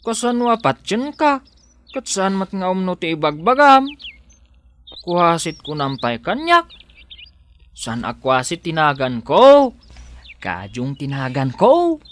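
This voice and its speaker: A man plays a cheeky, stubborn village son in an Ilocano comedy radio drama. He drawls slowly with an Ilocano accent, his pitch swinging widely as he protests. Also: sing-song, a bit bright, full character voice. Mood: indignant.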